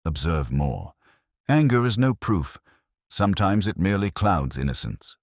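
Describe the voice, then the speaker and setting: A man voicing a tranquil, shrewd police inspector in an audiobook mystery, speaking gently and with measured kindness. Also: low-pitched, big pitch swings, no room sound, clean studio sound, voice pushed up, full character voice. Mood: calm.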